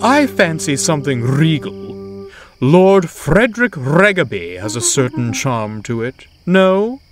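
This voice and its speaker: A man's voice: on the high side, very animated, slow pace, pitched above the performer's own voice. A man voicing a vain, boastful male tank engine who speaks in a grandiose, condescending way. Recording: loud music score behind.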